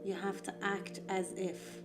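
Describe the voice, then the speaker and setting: A woman whose first language is Farsi speaks as an art therapy teacher, calm and reflective with gentle emphasis. Her voice sits at a middle pitch. Clear music plays behind her throughout. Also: measured pace. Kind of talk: guided art lesson talk.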